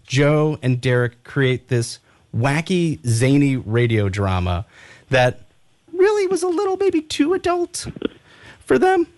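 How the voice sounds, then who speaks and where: A man's voice: mid-range voice, wildly swooping pitch, slow pace, darkish tone. A man co-hosting a casual live radio show, talking in an informal, chatty way.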